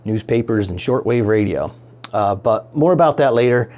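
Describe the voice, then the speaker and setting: A man's voice: mid-range voice, expressive, normal speed, a little mellow. A man hosting a how-to video, narrating casually and musing aloud with rhetorical questions. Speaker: host of a radio restoration how-to video. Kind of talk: casual explanatory DIY narration.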